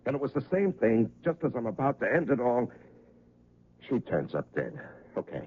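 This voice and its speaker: A man's voice: mid-range voice, very animated, moderate pace, lightly acted. An actor playing a distraught, fatalistic male cop in a 1970s radio drama, speaking in agitated, emphatic repetitions. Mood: anguished. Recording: dull, faintly noisy old radio recording.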